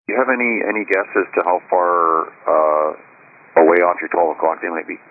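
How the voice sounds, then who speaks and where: A man's voice: deep voice, a little fast, dry recording, phone-quality sound. A man working as an air traffic controller, talking to pilots over the radio, often hesitating and repeating words. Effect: radio transmission. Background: aviation radio channel.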